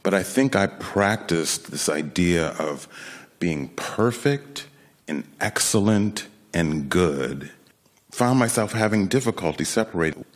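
American guy late 50s, really deep voice, reflective personal storytelling talk to an audience, conversational w pauses. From Rochester, New York, United States. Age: late 50s, nearly sixty.

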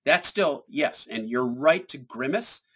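A man speaking as a scientist guest in an interview, explaining in a measured way and stressing key points. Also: mid-range voice, lively intonation, fairly bright tone, husky.

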